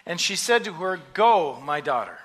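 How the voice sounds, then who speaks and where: Male pastor, slow deliberate phrasing, bright tone, short pauses for translation. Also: fairly high pitch, big pitch swings. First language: English. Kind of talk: sermon with live interpreter. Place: church sanctuary.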